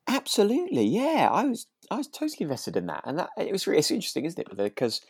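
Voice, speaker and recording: A man's voice: high-pitched, wildly swooping pitch, fast talker, darkish tone, slightly rough, no echo, clean studio sound. A British man chatting as a podcast co-host, hesitant and often restarting his sentences. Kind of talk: relaxed chat discussing a radio drama.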